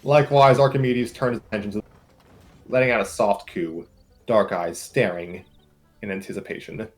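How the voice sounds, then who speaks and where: Low-voiced man reading a story aloud. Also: fairly even intonation, normal speed, soft background music. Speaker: narrator of a group story reading. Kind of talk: comic read-aloud narration with casual asides.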